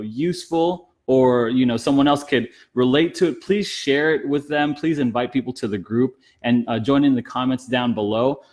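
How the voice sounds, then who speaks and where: A man hosting an interview podcast in a casual, chatty conversational style. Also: mid-range voice.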